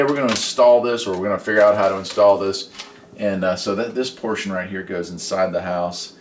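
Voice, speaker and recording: Casting: American man, middle-aged, lowish voice, chatty DIY vlog host. Distant mic, slight background noise. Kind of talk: casual hands-on demonstration vlog. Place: indoor home workshop and garage. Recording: echoey room, distant mic, faint noise.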